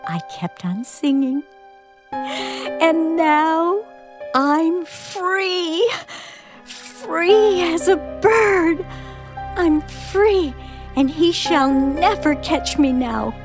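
Music plays behind a high, slow-speaking woman, frightened and coming unhinged. She is halting and dramatic, circling back over her phrases as in a suspense radio play. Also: animated, full character voice. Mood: giddy, unhinged triumph. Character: frightened, unhinged woman, now giddy and triumphant. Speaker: actor.

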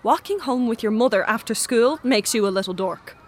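Woman acting a sullen, high-voiced teenage boy. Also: lively intonation, fairly quick, a little hiss or hum, close to an everyday voice. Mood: scornful irritation. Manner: curt, sarcastic, cutting in. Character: sullen, embarrassed, scornful teenage schoolboy son. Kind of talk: audio drama acting.

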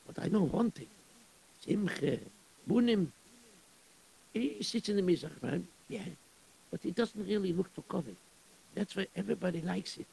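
An actor playing a gossipy, sighing male synagogue caretaker with a husky voice. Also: sits high, normal speed, pauses often, darkish tone, heavily acted, rough recording. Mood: gossiping. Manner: sighing, gossiping, fussing over tips.

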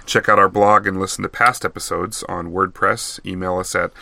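Hoarse male podcast host, low-ish gravelly voice, quick sign-off patter. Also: fairly even intonation, sharp tone, no room sound. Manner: brief friendly affirmations, then rapid sign-off plugs. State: getting hoarse. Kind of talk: casual interview chat.

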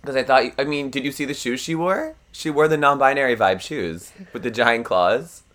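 A man's voice: medium pitch, normal speed, a bit bright. A young man with a froggy voice, co-hosting a podcast in rambling, self-deprecating casual chat and laughing often. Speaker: podcast co-host.

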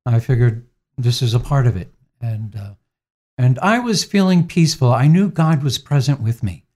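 Soft-spoken priest in his sixties. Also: medium pitch. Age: 60s. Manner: gentle, unhurried, frequent fillers. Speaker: priest, interviewee. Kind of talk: reflective interview answers.

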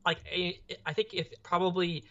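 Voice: mid-range voice, fairly even intonation, moderate pace, a bit bright. Young podcast cohost just chatting, rambly, keeps hunting for words, kinda muffled lo-fi audio. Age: young adult. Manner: rambling, hesitant, searching for words. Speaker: podcast co-host. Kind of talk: casual pop culture discussion.

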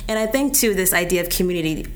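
A young woman in her twenties speaks casually and thoughtfully in a small group discussion. Her voice sits a little low, and she laughs easily between points. A faint background hiss runs under the recording. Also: lively intonation, fast talker. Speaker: grad student in group discussion.

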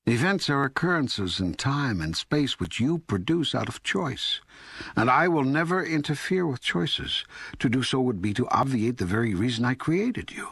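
A man playing God in an audiobook dialogue, speaking as a patient, all-knowing deity in a measured, authoritative, teaching way. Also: mid-range voice, creaky, fairly bright tone, husky, no echo, heavily acted. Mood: matter-of-fact. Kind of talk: scripted audiobook dialogue reading.

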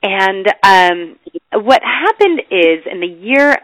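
A woman's voice: medium pitch, animated, takes it slowly, fairly bright tone, dry recording, poor audio. A woman in her thirties with a stuffy cold, chatting as a guest in a podcast interview. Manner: chatty, laughs lightly. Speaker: guest chef and food writer. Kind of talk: casual interview.

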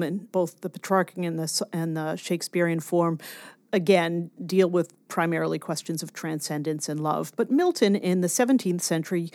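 A woman scholar explaining her research as an interview guest, deliberate and emphatic on key words. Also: lowish voice, no echo. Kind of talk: explanatory academic interview talk.

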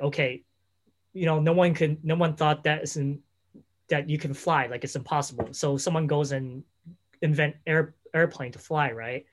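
A man interviewing a guest in casual conversation, rambling through his questions and leaning on fillers like "you know" and "right?". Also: fairly high pitch.

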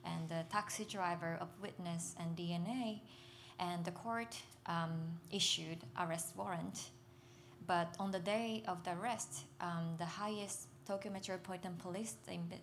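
A young Japanese woman speaks English carefully and earnestly. She pauses often to find her words, and her delivery stays soft and halting. Faint noise sits under her voice. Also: on the low side, fairly even intonation. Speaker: speaker at a literary festival. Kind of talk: personal testimony on stage.

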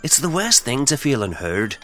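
Man acting a solemn priest, animated pitch. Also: normal speed, over loud music, lightly acted. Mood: gently insistent. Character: solemn, probing priest and spirit investigator. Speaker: actor in an audio play. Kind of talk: dramatic audio play acting.

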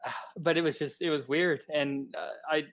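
A man's voice: on the high side, moderate pace. Young man rambling through a story. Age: young adult. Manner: rambling and animated, full of "like" and "um". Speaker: podcast co-host. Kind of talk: casual storytelling among friends.

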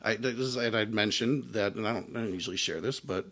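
A man's voice: fast talker, a bit bright. A man, a trumpet player and singer, telling his story in a casual interview with many fillers and restarts. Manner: says he has a higher voice.

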